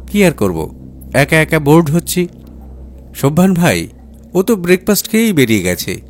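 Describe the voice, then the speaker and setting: A man's voice: a little high, big pitch swings, moderate pace, voice pushed up. A man voicing a nervous, deceitful wife in an audiobook, hesitant and feigning casualness. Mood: feigned ease. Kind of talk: acted audiobook dialogue.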